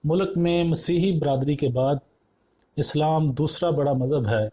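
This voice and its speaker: Male news narrator, fairly high voice with a soft mellow tone, formal measured delivery.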